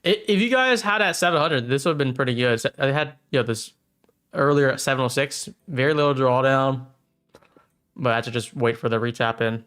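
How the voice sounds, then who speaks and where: A man's voice: medium pitch, brisk, a bit bright, smooth. A man in his thirties chatting casually on a livestream while trading, stammering and repeating words, laughing often. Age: 30s. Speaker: streamer trading futures live.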